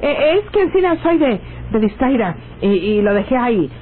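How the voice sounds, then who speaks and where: An actress with a northern Mexican accent playing a naive, anxious young country sweetheart with rustic speech in a radio drama. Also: female voice, fairly high pitch, very animated, moderate pace. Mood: flustered, nervous. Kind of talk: old-time radio drama acting. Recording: tinny, noisy old radio recording.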